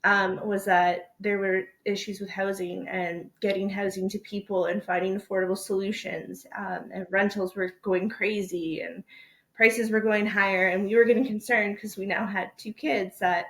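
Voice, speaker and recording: A woman's voice: mid-range voice, little pitch movement, normal speed, fairly bright tone, roomy sound, poor audio. A woman in her thirties, a guest telling her story plainly, with many fillers, in a casual interview. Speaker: guest, company co-founder.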